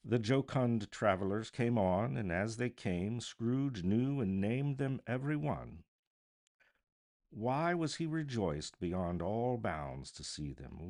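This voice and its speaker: Male narrator, dramatic audiobook reading, deliberate phrasing, slow pace, gravelly edge. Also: sits low, a little mellow. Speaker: storybook narrator, voice artist.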